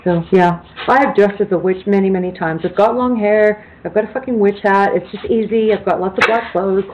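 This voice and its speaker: A woman co-hosting a casual podcast chat, teasing and quick to laugh. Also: medium pitch, highs cut off, background noise. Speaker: podcast co-host.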